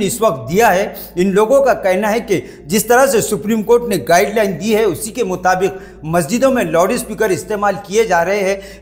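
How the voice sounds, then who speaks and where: A man anchoring a news report, reading formally and addressing the viewers directly. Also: high-pitched.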